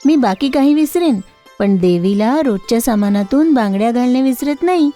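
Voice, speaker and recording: Woman playing a caring high-voiced village wife. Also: expressive, normal speed, dark tone, soft background music, close to an everyday voice. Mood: devoted. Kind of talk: storybook character voice.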